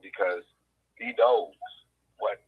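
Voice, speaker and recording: An older man co-hosting a casual talk show by phone, speaking haltingly with many fillers and restarts. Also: phone-quality sound. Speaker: co-host.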